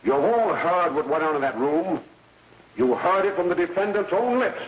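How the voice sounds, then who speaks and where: High-pitched, righteous 1930s prosecutor. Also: male voice, lively intonation. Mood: righteous, grave. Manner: declamatory, righteous, deliberate. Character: stern male prosecutor pleading to a jury. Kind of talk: 1930s film courtroom oratory. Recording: muffled, echoey, distant 1930s film soundtrack.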